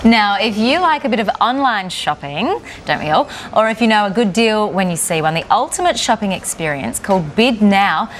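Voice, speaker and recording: A woman's voice: medium pitch, expressive, moderate pace, hardly any pauses, a bit bright, a little hiss or hum. A woman with an Australian accent hosting a morning TV show, reading links and interviewing guests. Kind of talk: lively television presenting and interviewing. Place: TV studio.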